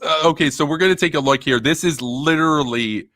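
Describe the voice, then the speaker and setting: A man hosting a casual stream, talking in a rambling, animated way with many fillers and restarts. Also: fairly high pitch, a little fast, no echo, clean studio sound. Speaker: stream host. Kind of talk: casual chat.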